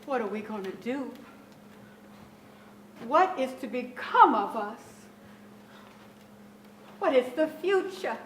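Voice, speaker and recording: A woman's voice: fairly high pitch, big pitch swings, takes it slowly, long pauses, reverberant, far from the mic, slight background noise. An actress playing an anxious, overbearing middle-aged mother in a staged drama, theatrical, fretful and emphatic. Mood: bewildered, despairing. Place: large theatre stage with audience, indoors.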